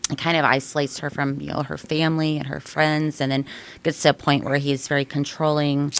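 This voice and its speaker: Woman author guest from Illinois, young baby-ish voice pitched low, candid and lively in a studio chat. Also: fairly quick. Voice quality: young-sounding, babyish voice. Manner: candid, animated storyteller, frequent restarts. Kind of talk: casual interview chat. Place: podcast studio.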